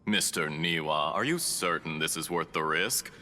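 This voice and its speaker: A formal, suave schemer in a video game. His low voice moves in animated, deliberate swoops, polite and theatrical. It rings out with long reverb. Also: exaggerated voice. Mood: smooth, cautioning. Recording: long hall reverb, faint rumbling noise.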